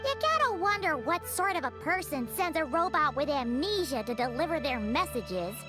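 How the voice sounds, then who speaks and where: A very high, squeaky game fairy, small and childlike. She is excitable and curious, and her pitch leaps around freely over music. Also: moderate pace. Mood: perky, curious.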